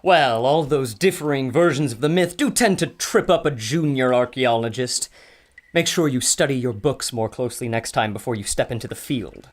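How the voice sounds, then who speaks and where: A man's voice: medium pitch, normal speed, close to an everyday voice. An actor playing a scholarly male archaeologist who explains things in a learned way. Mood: explaining.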